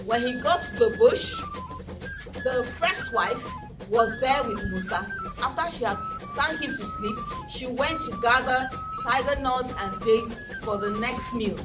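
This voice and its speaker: A woman with a Nigerian accent telling a folktale to children in an animated, dramatic, interactive way. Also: sits high, a little slow. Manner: animated, dramatic, asks listeners questions. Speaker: children's storyteller and educator. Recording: distant mic, loud background music.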